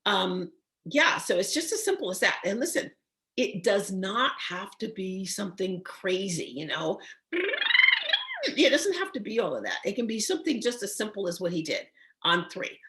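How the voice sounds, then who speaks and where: A woman voice teacher with a slightly hoarse, froggy voice, giving an online singing lesson and checking in often with "okay?". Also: medium pitch, very animated, moderate pace, fairly bright tone, slight background noise. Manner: encouraging, checks in with frequent "okay?".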